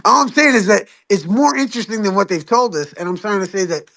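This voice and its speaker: A man speaking on an online call with a fairly high voice. He rambles through his points, swears casually and keeps making light of himself. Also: lively intonation, quick pace, fairly bright tone. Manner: rambling, profane, self-deprecating, laughs often. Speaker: flat-earth debater. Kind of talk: heated online debate chat.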